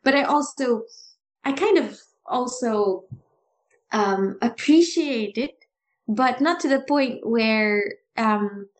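A woman co-hosting a casual talk about improv, animated and often restarting her sentences with a laugh. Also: on the high side, slow. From Philippines. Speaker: improv podcast co-host. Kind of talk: casual chat.

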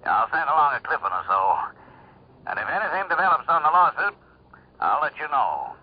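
Animated, uneasy businessman on tinny line. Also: a little high, fairly quick, slightly rough. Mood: grave, uneasy. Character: businesslike male associate calling long-distance, uneasy and polite. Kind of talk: radio drama acting. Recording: tinny, hissy telephone-style radio sound.

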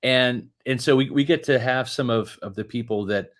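A man's voice: mid-range voice, moderate pace. A man of fifty co-hosting a sports podcast, chatting casually and rambling with many restarts. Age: 50s. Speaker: podcast co-host.

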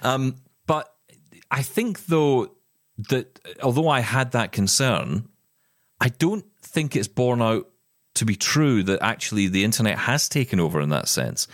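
A man's voice: medium pitch, very animated, unhurried, no room sound, studio quality. A middle-aged man co-hosting a podcast, talking in an impassioned, emphatic way with plenty of restarts. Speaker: podcast co-host. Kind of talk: casual chat.

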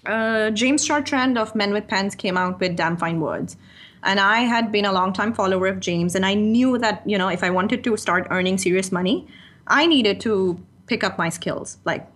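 A woman's voice: mid-range voice, a bit bright. Chatty woman guest. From Pakistan. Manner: chatty and candid, laughs easily, many "you know" asides. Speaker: guest, freelance writer. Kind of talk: casual interview chat.

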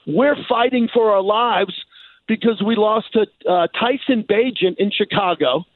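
A man from New York in animated sports talk as a radio guest, speaking emphatically and repeating phrases for effect. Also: high voice, a little slow, dry recording. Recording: phone-like thin band.

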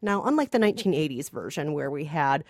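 Lively, animated woman podcast host. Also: low voice, fairly quick, dry recording, clean studio sound. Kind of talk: lively film review chat.

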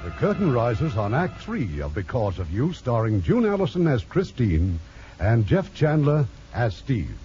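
A man's voice: mid-range voice, wildly swooping pitch, a little slow. A man announcing a radio play in scripted 1950s theatrical style. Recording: muffled old radio, loud music behind.

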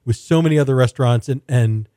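A man's voice: mid-range voice, fast talker, warm tone, no echo. Male host, casual interviewer, rephrases his questions as he goes; dry, close recording. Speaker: podcast host, food interviewer.

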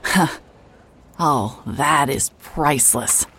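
An actress playing a hard-hearted private investigator in a noir audio drama, dry, sardonic and unimpressed. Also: female voice, a bass voice, extremely slow, a bit bright, a little hiss or hum, exaggerated voice. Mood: amused, sardonic.

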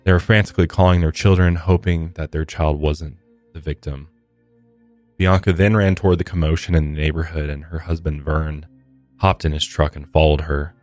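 Man, very low bass voice, true crime podcast host, conversational, measured, faint music behind. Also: little pitch movement, darkish tone.

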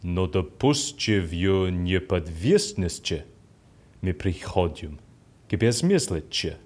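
An actor playing an earnest male prisoner in an audio drama, reading aloud in a deliberate, studious way. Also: sits low, animated, a little mellow, full character voice.

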